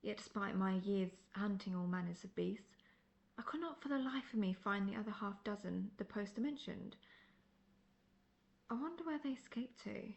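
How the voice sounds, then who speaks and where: Casting: actress as veteran female monster hunter for fantasy roleplay audio; wry, assertive, measured, with a creaky edge. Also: mid-range voice, lively intonation, dull audio. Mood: dry, wry. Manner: measured, formal, dryly condescending.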